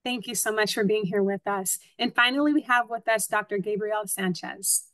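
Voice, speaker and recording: A woman's voice: mid-range voice, normal speed, fairly bright tone, dry recording. A woman in her thirties moderating a webinar panel, polite and formal. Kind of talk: scripted moderation.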